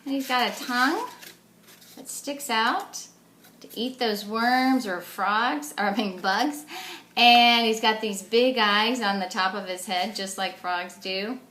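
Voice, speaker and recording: A woman speaks slowly in a roomy, echoing space, in the style of a teacher at children's story time. She explains things in simple words and puts little questions to her young listeners as she reads. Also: on the high side, sharp tone. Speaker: children's teacher reading stories. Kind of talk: animated storybook read-aloud for young children.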